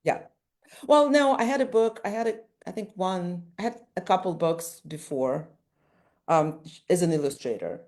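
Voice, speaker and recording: A woman's voice: lowish voice, animated, normal speed, darkish tone. A woman whose first language is Russian, an author-illustrator answering interview questions thoughtfully, often restarting her sentences. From Ukraine.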